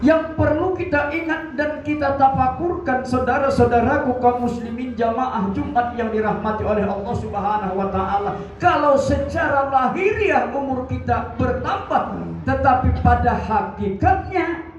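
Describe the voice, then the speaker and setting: A man in his sixties giving a Friday mosque sermon, measured and building in emphasis. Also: extremely high pitch. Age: 60s. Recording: echoey, distant, dull mosque recording with light noise.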